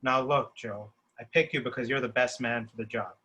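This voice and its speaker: Man playing a bright-voiced, pushy office boss. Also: medium pitch, fairly even intonation, a little fast, lightly acted. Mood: reassuring, pushy. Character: brisk, pushy thirty-three-year-old male office boss. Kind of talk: screenplay table read.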